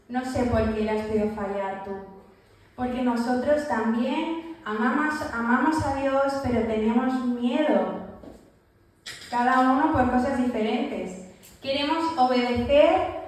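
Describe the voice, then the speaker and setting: High-voiced woman preaching in an echoey church. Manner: storytelling, acting out quoted lines. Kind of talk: sermon. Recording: echoey church hall, voice from far off.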